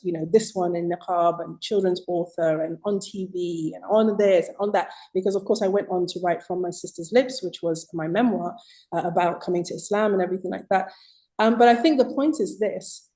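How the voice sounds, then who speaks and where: A woman with a British accent speaks as an interview guest, her voice mellow and warm at an average pitch. She tells her story reflectively and leans into key points with emphasis. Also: poor audio. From Zimbabwe. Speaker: guest author.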